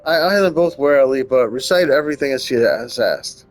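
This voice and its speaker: A man's voice: mid-range voice, lively intonation, fairly quick, faint music behind. A man reading visual novel narration aloud as a let's player, breaking off for wry, nitpicking casual commentary.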